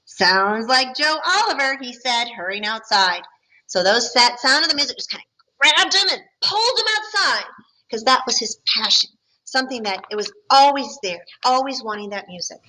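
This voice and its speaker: A woman teacher reads a picture book aloud to young students. She stops to ask them questions and explain the story. Her voice is bright and rather high, with a friendly, patient tone. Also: expressive, normal speed, rough recording. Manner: friendly, explaining to children between passages.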